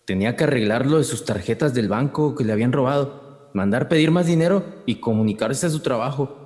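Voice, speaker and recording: A man's voice: mid-range voice, a little fast, a little mellow, big-room sound, natural voice. An actor playing a folksy, jocular rural man with a northern Mexican accent and wry, slangy talk in a radio drama. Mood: wry recounting. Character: folksy rural man narrating his misadventure, jocular, teasing. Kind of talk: radio drama narration and dialogue.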